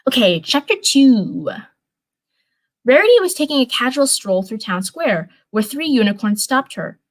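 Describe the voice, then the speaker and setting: A woman's voice: on the high side, very animated, a little slow, low-quality recording. A young woman hosts a casual livestream. She reads stories aloud and slips into rambling, informal commentary. She often backs up to fix what she has just said. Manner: rambling, informal, frequent self-corrections. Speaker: livestream host reading fan fiction. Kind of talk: casual livestream chat and story read-aloud.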